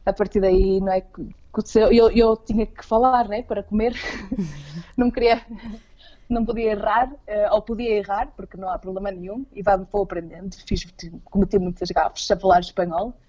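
Mellow Portuguese woman, dull lo-fi sound. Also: mid-range voice, steady tone, moderate pace. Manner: hesitant, repeats words while searching for phrasing. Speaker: interview guest living abroad. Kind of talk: casual conversational interview.

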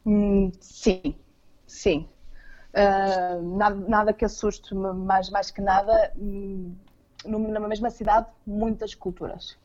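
A woman with a Portuguese accent chats in a soft-edged, mellow voice. She speaks as an interview guest, doubling back on words while looking for the right phrase. The recording is muffled and low in fidelity. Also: flat delivery, slow. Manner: hesitant, repeats words while searching for phrasing. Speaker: interview guest living abroad. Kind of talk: casual conversational interview.

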